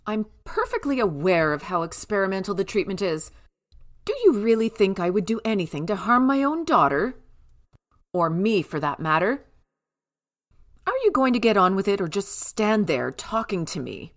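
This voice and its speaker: A woman's voice: medium pitch, big pitch swings, normal speed, close to an everyday voice. A woman playing an imperious, stubborn, controlling mother in an audio drama, with a haughty, clipped, commanding manner. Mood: haughty, impatient.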